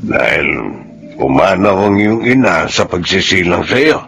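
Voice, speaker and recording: An actor playing a gentle, concerned old father in a radio drama, speaking in a halting, tender, paternal way. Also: low voice, big pitch swings, vocal fry, breathy edge, heavily acted. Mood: tender, searching. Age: older. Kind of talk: Filipino radio drama acting.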